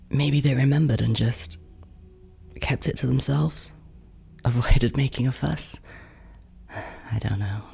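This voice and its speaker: A man playing a bitter, neglected older sibling in a horror monologue, hushed and confessional, often slipping into whispers. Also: fairly low pitch, gravelly, husky, heavily acted. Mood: hurt, resigned.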